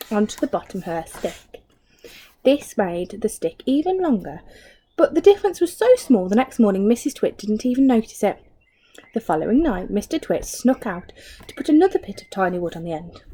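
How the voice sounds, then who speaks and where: A woman's voice: medium pitch, warm tone, slightly rough. A woman reading a children's story aloud like a teacher, expressively, with asides and questions to young listeners.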